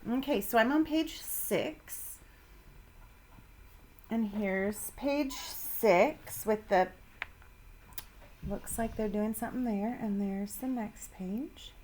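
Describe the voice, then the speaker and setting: A woman's voice: fairly high pitch, takes it slowly, pauses often, darkish tone, a little hiss or hum. A woman teacher giving a read-aloud science lesson to children, with simple, instructive phrasing addressed to her pupils. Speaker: elementary school teacher.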